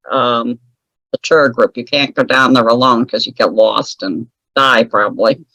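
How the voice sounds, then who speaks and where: A woman's voice: very deep voice, fairly bright tone, no room sound, dull audio. A woman telling a personal story as an interview guest, chatty and wry, laughing often at her own remarks. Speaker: guest author.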